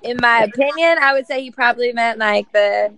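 A woman's voice: on the high side, a little fast, background noise. A woman from New York, a guest on a podcast, giving candid opinions with hesitant starts and filler words. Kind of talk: casual opinion chat.

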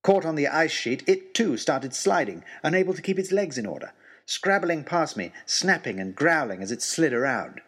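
A male storyteller delivers a first-person adventure tale. His delivery is theatrical and expressive, with pitch that rises and falls widely. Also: moderate pace, breathy edge.

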